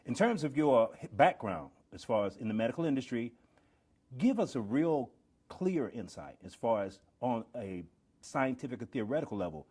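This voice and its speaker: A man's voice: medium pitch, sing-song, normal speed, darkish tone, breathy edge. A man hosting a podcast interview, encouraging his guest and asking long, wordy questions. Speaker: podcast host.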